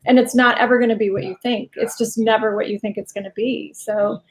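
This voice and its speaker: A woman with a fairly high voice talks casually as an interview guest. She is a writer who also teaches, and she speaks candidly in an anecdotal way, slipping into quoted speech. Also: steady tone, fairly quick, crowd noise, poor audio. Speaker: guest short story writer and writing teacher.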